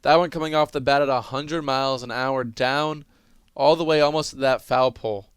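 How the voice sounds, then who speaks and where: A man's voice: medium pitch, steady tone, moderate pace, smooth, dry recording, studio quality. A man giving analytical, explanatory color commentary on a live baseball broadcast.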